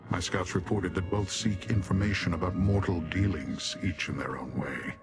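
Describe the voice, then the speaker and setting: Casting: male actor as imperious demon warlord for a fantasy game, very low voice, deadpan disdain, formal diction, music underneath. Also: lightly acted. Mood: cold, businesslike. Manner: formal, condescending, deadpan, measured. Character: haughty male Dremora demon warrior commander, coldly disdainful of mortals. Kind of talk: video game character dialogue.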